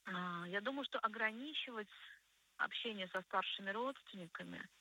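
A woman speaking as a guest psychologist on a radio show, explaining conversationally and deliberately, stressing key words. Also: mid-range voice, pushed and bright, poor audio. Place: radio studio.

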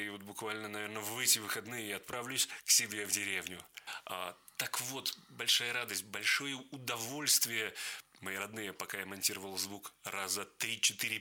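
Male radio guest, actor: lowish, very bright voice, heartfelt, earnest delivery. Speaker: actor and audiobook reader.

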